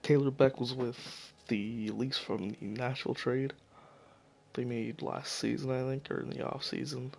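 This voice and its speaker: A man giving casual, profane solo commentary while slowly losing his voice, stumbling over names as he goes. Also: medium pitch, fairly even intonation, vocal fry, darkish tone. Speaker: hockey video commentator.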